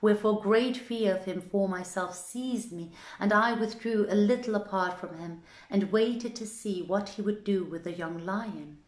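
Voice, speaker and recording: A woman's voice: mid-range voice, unhurried, hardly any pauses, darkish tone. A woman reading an old tale as a storyteller, in theatrical, formal, archaic phrasing. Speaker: storyteller, reader. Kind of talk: scripted literary narration of an old tale. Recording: echoey, roomy sound.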